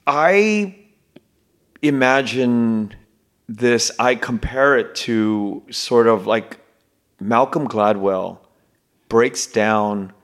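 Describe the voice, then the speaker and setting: A man's voice: mid-range voice, extremely slow, long pauses. A man hosting a casual interview, rambling through his thoughts with many fillers and restarts. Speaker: podcast host.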